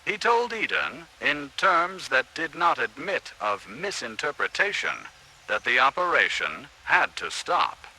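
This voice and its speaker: A man delivering scripted 1950s-style radio news commentary, explaining in dramatic, measured phrases. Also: mid-range voice, very animated, sharp tone, breathy edge, poor audio.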